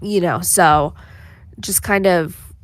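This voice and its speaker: A woman's voice: fairly low pitch, takes it slowly, no echo, studio quality. A woman chatting informally as a panelist, with hesitant starts to her thoughts. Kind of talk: casual chat.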